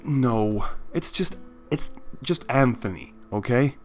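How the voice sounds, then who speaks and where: A man playing an exasperated, sarcastic young man in a story reading, sharp and incredulous. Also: medium pitch, animated, slow, faint music behind. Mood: weary.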